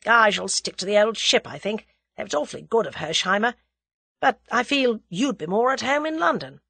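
A woman's voice: mid-range voice, lively intonation, normal speed, gravelly, pushed and bright, slightly rough, dry recording, pitched above the performer's own voice, full character voice. A woman voicing a bossy, lovestruck young man adventurer in an audiobook, clipped and emphatic. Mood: dry, positive. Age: young adult. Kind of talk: audiobook character dialogue.

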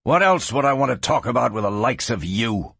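Guy doing arrogant rancher boss in a cowboy audiobook, husky, sneering, blows up easily, pitch jumps all over. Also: expressive, a little fast, a bit bright, no echo, exaggerated voice. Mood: accusing. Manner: sneering, domineering, explosive. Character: arrogant, hot-tempered Western cattle baron, bullying older brother. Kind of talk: dramatised audiobook reading.

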